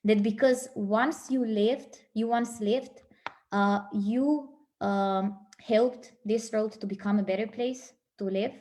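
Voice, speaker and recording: A woman giving a motivational speech, earnest, with pauses between phrases. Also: medium pitch, fairly even intonation, mellow. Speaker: motivational speaker.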